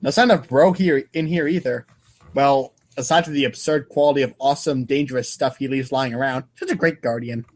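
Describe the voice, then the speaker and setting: A man's voice: medium pitch, a little fast, a little mellow. A man reading comic narration aloud in a casual, irreverent way, stumbling over lines now and then. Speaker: podcast co-host and reader.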